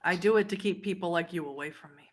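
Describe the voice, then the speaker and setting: Lady doing a scruffy vampire rat woman, lowish voice, wary, desperate, tabletop game. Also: fast talker, lightly acted. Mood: grim, defiant. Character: desperate, unkempt vampire woman with pet rats, Sabbat runaway.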